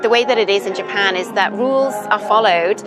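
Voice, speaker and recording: A woman's voice: mid-range voice, fairly quick. Young woman from the uk chatting in an interview, lots of ums, music under it. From Britain. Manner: chatty, thoughtful, many ums and you-knows. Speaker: interview guest. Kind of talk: casual interview chat.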